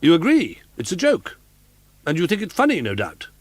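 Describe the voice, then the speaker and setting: A man voicing an irate, pompous male opera manager who shouts and blusters in an audiobook reading. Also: fairly high pitch, huge pitch swings, moderate pace, dry recording, voice pushed up. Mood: furious.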